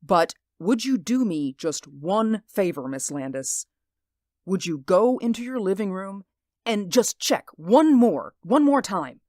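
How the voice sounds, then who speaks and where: A woman's voice: medium pitch, expressive, slow pace, a little mellow, dry recording, studio quality. A woman voicing Mister Ames, a nervous, sleepless man who stammers and pleads, in a radio drama. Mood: pleading. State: sleep-deprived, anxious. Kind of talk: hobby radio drama acting.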